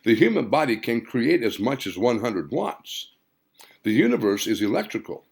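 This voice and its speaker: A man voicing an earnest, wondering woman in an audiobook, speaking deliberately. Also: lowish voice, creaky, husky, heavily acted. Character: earnest woman sensing strange new powers. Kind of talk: audiobook character dialogue.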